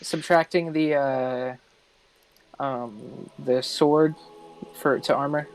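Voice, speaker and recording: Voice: mid-range voice, steady tone, extremely slow, a little hiss or hum, low-quality recording. A tabletop roleplaying player chatting casually, asking questions about the rules in a hesitant way. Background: background music.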